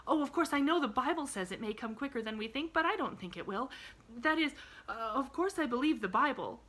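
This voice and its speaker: A woman's voice: high voice, expressive, brisk, a bit bright, pitched above the performer's own voice. A woman voicing an eager, talkative, glad little girl from a storybook, rambling earnestly with a rising inflection.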